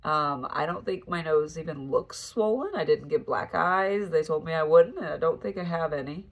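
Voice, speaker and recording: Brief: young adult woman, lowish voice, stuffy nasal congestion, tired casual vlog talk. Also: normal speed. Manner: tired, relaxed recovery chat. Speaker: farm vlogger.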